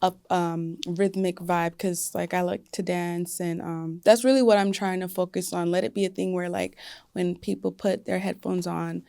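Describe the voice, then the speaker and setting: A woman's voice: lowish voice, steady tone, moderate pace, creaky, mellow, dry recording. A young woman singer talking casually in an interview, with many fillers like "like" and "you know". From United States. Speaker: singer guest.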